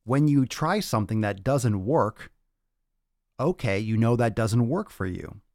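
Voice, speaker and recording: A man's voice: mid-range voice, animated, a little slow, a little mellow, no echo, clean studio sound. A man speaking as a fitness coach and podcast host in a blunt, emphatic, profane rant, often repeating phrases to drive points home. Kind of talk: motivational rant to livestream audience.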